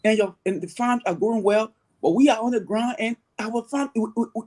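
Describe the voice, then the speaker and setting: A man's voice: high voice, brisk, darkish tone. A middle-aged man with a Liberian accent, a farmer and agribusiness owner being interviewed, explaining earnestly at length with frequent restarts. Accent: Liberian English. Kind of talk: conversational interview answer.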